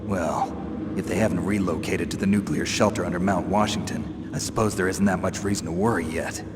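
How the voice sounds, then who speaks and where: A man's voice: deep voice, fairly even intonation, brisk, background noise, close to an everyday voice. A man playing a tough, cynical special-forces loner in a video game, speaking in a terse, dry, distrustful way. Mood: wary, probing. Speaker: covert operative questioning his commander. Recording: long echoing reverb, loud music behind.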